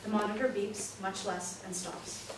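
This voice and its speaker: A woman's voice: medium pitch, level tone, a little slow. A woman reading stage directions as scripted narration for a play reading. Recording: echoey room, distant mic, noisy.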